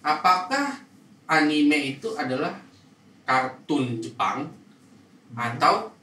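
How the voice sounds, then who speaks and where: A man with a bright, slightly high voice hosts a casual, joking group chat in a teasing, mock-formal way. He is a little far from the mic in an echoey, faintly noisy room. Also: big pitch swings, slow pace. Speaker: podcast host. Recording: echoey, off-mic, some noise.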